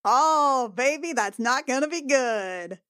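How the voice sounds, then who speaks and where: A woman playing a tabletop game, talking casually about her character and reacting with quick exclamations. Her voice is a little high and sits close to the mic, dry, with no room echo. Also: animated, fairly bright tone. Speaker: player.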